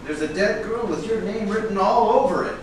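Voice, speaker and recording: Echoey noisy room, a man playing a fretting pushy agent, high-pitched, halting, stagey drama delivery. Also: recorded from a distance, natural voice. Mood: accusing, worried. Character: pushy, fretting male talent agent, accusing then flattering. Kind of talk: staged play reading. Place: room at a live staged reading.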